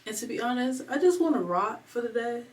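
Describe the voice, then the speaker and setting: A woman's voice: a little high, animated, brisk. A woman in her twenties talking casually and candidly in a home vlog, rambling and slangy, emotional and fighting back tears. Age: 20s. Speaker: vlogger. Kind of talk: casual confessional vlog talk. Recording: voice a bit far from the mic.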